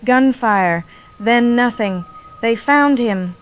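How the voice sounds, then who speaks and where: A woman's voice: on the high side, slow. A woman playing a compassionate, resolute survivor radio operator, earnest and firm, through a radio filter. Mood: tense reporting. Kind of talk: post-apocalyptic audio drama acting. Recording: tinny phone-like radio, faint music.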